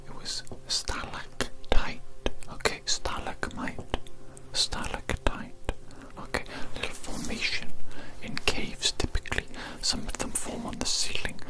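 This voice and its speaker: Soft whispered ASMR hearing-test roleplay. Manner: soft whispering, slow and repetitive, gently encouraging. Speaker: ASMR creator. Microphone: close microphone with headphone-targeted sound.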